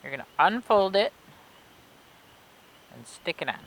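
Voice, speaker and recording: A woman reading and explaining things for children in an expressive way, with simple, clear phrasing, like a children's librarian. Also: mid-range voice, measured pace, a little hiss or hum, poor audio. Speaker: children's librarian reading a story and demonstrating a craft. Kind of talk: read-aloud storytelling and craft instructions for children.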